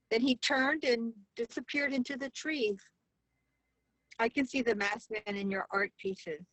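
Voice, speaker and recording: Dry close sound, woman acting a solemn caring artist, highish voice, deliberate, play reading. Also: no echo, low-quality recording. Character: solemn, compassionate woman artist who offers counsel.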